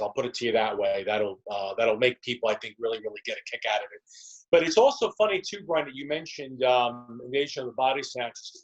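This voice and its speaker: A man's voice: mid-range voice, dull audio. A man with a mid-range voice talks as a guest in an interview. He rambles conversationally, circling back and repeating words as he explains his work as a performer.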